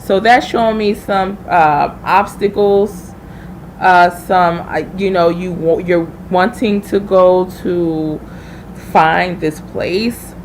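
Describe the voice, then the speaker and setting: A woman's voice: on the low side, takes it slowly, slight background noise, rough recording. A woman hosting a live tarot reading, chatting informally and familiarly with viewers as she thinks aloud. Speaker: tarot reader hosting a livestream.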